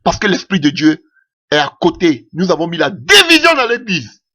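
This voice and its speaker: A man's voice: sits high, sing-song, normal speed, pushed and bright, highs cut off. A man preaching a sermon in French that is not his mother tongue, impassioned and punctuating his points with "Alléluia".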